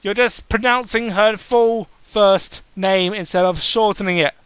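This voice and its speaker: Male amateur reader, bright edgy tone, fairly high voice; sardonic, fed up, swears freely between lines. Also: a little slow, smooth, dry recording. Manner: exasperated, sarcastic, swears freely. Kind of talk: mocking dramatic reading with exasperated asides.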